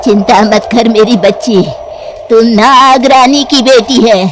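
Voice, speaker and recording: A woman playing an ageing, vengeful serpent queen and mother in a dramatised story, speaking in a commanding, deliberate way. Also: fairly high pitch, slight background noise. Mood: scheming, commanding. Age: older.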